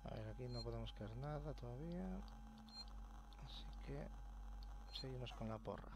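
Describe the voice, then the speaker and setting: A man's voice: medium pitch, normal speed. A man with an accent from Spain giving casual gameplay commentary, muttering colloquially to himself. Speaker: video game player and host. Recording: loud game ambience behind voice.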